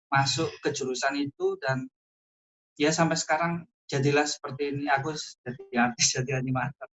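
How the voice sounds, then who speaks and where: A young man from Indonesia with an Indonesian accent, giving brief, halting answers as an animator in a casual interview. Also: medium pitch, fairly bright tone, low-quality recording.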